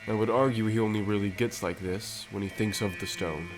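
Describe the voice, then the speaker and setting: A man acting a mysterious, composed visitor with uncanny powers in an audio roleplay, speaking formally and deliberately. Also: fairly low pitch, steady tone, music in the background, close to an everyday voice. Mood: calm, cryptic.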